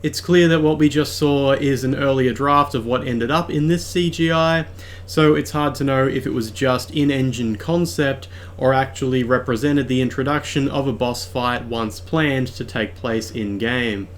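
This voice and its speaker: Male video essayist, calm scripted narration, explains clearly, medium pitch. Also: moderate pace, a little hiss or hum. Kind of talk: scripted game commentary voice-over.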